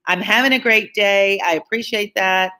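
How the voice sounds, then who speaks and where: A woman's voice: mid-range voice, moderate pace, pushed and bright. A woman tutoring on a live read-along of a classic novel, reading aloud and adding informal explanatory asides for listeners.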